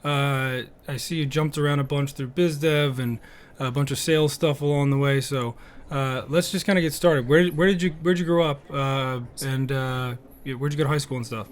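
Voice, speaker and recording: A man hosting a podcast, asking questions in a relaxed, friendly way with fillers. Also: medium pitch, moderate pace. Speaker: podcast host. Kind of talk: podcast interview.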